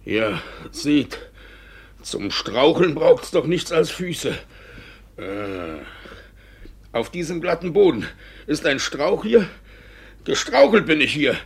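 An actor playing a battered, sly village judge in a 1950s radio play, grumbling evasively in a husky, halting voice. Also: male voice, on the high side, sing-song, heavily acted. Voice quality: husky, gravelly. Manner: halting, muttering, then suddenly loud.

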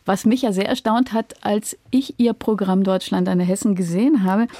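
A woman's voice: mid-range voice, steady tone, moderate pace, dark tone, no room sound. A woman hosting a radio interview, speaking in a composed, inquisitive, conversational way.

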